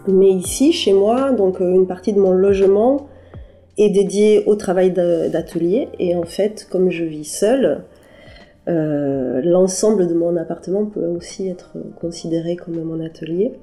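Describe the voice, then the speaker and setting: A woman artist answering questions in a halting, conversational way, with many fillers and restarts. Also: lowish voice, mellow, soft background music. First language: French. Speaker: interviewed artist. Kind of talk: hesitant conversational interview. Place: her apartment.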